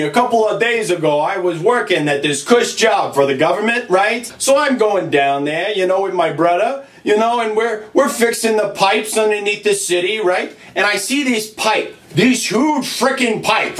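An echoey, distant recording of a high-voiced, gruff-tempered plumber in a comedy monologue. He is a put-upon older brother who rambles crudely to his customer and keeps tagging phrases with "right?". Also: expressive, moderate pace, hardly any pauses, a bit bright. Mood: grumbling, storytelling. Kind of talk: comic character monologue, chatting to a customer. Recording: roomy echo, distant from mic.

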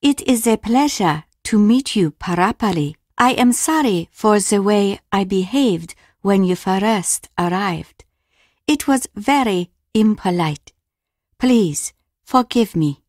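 A woman playing a polite, solemn Japanese innkeeper who teaches traditional arts in a video game, speaking formally and with measured care. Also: medium pitch, lively intonation, no room sound, clean studio sound. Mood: apologetic, formal. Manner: formal, measured, gently instructive. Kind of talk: scripted video game dialogue.

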